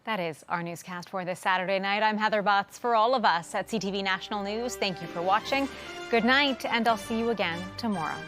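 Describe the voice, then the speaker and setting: A woman's voice: medium pitch, brisk. Woman news anchor with music behind. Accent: Canadian. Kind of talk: scripted newscast presenting.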